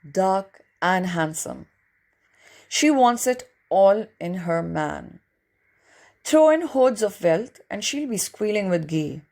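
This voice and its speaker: A woman's voice: mid-range voice, a little mellow. A woman reading a book chapter aloud as scripted audiobook narration.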